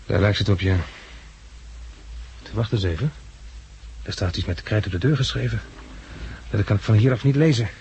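An actor playing a practical, cautious survivor with a direct, questioning manner, in 1960s Dutch radio drama style. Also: male voice, lowish voice, normal speed, pauses often, darkish tone, natural voice. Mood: wary. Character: practical, cautious male survivor leading the search. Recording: noisy vintage 1969 radio recording.

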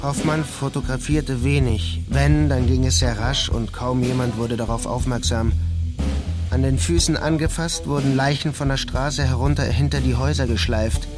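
Measured male narrator over music. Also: medium pitch, fairly even intonation. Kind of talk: literary scripted narration for a radio play.

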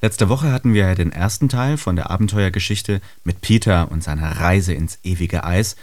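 Low-voiced male radio presenter, dry studio. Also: moderate pace, darkish tone, no echo. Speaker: radio show host.

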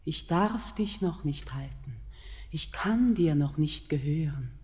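A woman's voice: low voice, expressive, takes it slowly. An actress playing a mysterious mountain queen in a classic German radio play, solemn and incantatory, tender yet commanding. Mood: tender urging. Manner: solemn, incantatory verse delivery, hushed at times. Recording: radio play with long hall reverb.

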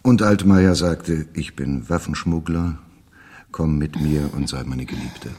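An actor playing a curious, teasing companion of a smuggler in a radio drama, in dialogue and narration. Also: male voice, a bass voice, measured pace, a little mellow, husky, exaggerated voice.

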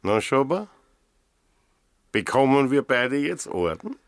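An actor playing a wry, suspicious village police sergeant in a radio drama, with a dry, probing, gravelly delivery. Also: male voice, medium pitch, big pitch swings, slow pace, no room sound. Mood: sardonic.

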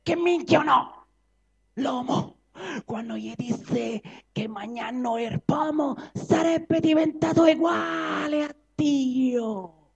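A man's voice: extremely high pitch, takes it slowly, gravelly, voice pushed up, full character voice. A man voicing a sly snake, telling verse knowingly with a Roman accent. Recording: dull live recording.

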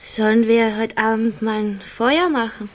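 Radio drama role: poor young pregnant woman, played by an actress, high voice, slow delivery, timid and plaintively begging. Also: natural voice. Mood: wistful, pleading. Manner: slow, plaintive pleading with groans.

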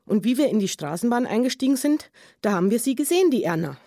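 A woman's voice: fairly high pitch, sing-song, fast talker, dark tone, no room sound, clean studio sound. An actress playing an earnest married Munich woman giving testimony in a radio drama, chatty and emphatic, with a Bavarian accent. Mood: earnest, insistent. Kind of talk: radio drama witness monologue.

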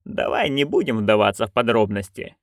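Reader doing a stubborn old witch lady, quiet, flat, short answers, dry recording. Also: mid-range voice, no room sound, close to an everyday voice. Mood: stern. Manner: curt, flat, slow and quiet.

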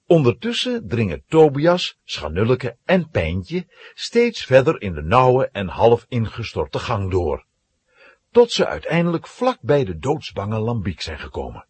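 A man's voice: medium pitch, darkish tone, slightly rough. A man narrating a scripted children's audio play in a dramatic storytelling manner.

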